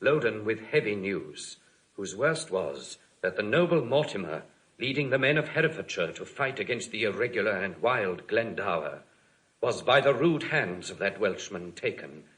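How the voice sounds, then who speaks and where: Low-voiced, formal nobleman. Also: big pitch swings, moderate pace, a bit bright, rough recording. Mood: grave, urgent. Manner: formal, declamatory, grave. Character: dutiful English nobleman reporting grim war news. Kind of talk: classical Shakespearean audio drama acting.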